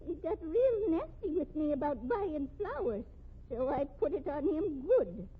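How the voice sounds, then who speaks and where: Childlike, high-pitched crazy flower seller. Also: female voice, big pitch swings, unhurried. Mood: eerily pleased. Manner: simple, childlike, gleeful, uneducated speech. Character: feeble-minded, eccentric flower-seller woman boasting of curses. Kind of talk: 1950s radio mystery acting. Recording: tinny band-limited old radio recording.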